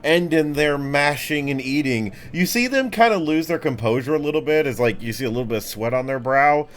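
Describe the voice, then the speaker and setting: A man's voice: a little high, animated, a little fast, slight background noise. A man casually improvising narration as game master of a tabletop game, in a laid-back, colloquial way.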